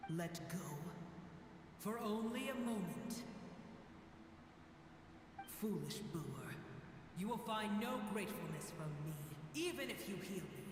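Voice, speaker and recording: Actor doing sick old dragon from a video game, scornful and portentous, slow talker, huge echo. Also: mid-range voice, background noise, lightly acted. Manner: solemn, portentous, contemptuous. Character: ancient, gravely ill dragon, bitter and menacing. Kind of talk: scripted fantasy video game dialogue. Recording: cavernous reverb, music behind.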